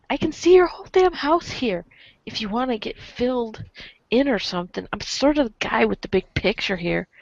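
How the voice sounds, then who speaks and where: Woman as a cocky sarcastic teen boy, higher-pitched. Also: no echo, highs cut off, heavily acted. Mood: cocky, teasing. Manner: wry, rambling, casual swearing. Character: cool, cocky, foul-mouthed sarcastic teenage boy. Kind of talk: amateur dramatic reading of webcomic chat.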